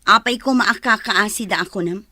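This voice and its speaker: A woman's voice: medium pitch, brisk, no echo. A woman playing a harsh, stingy aunt who scolds and bullies, with an Ilocano accent in a radio drama. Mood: irritated. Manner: scolding, sharp.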